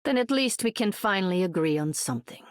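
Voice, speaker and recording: A woman voicing a supervillain's cool, aloof grown daughter in a video game, measured and dryly cutting. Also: medium pitch, expressive, no echo, studio quality, natural voice. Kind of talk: video game character voice lines.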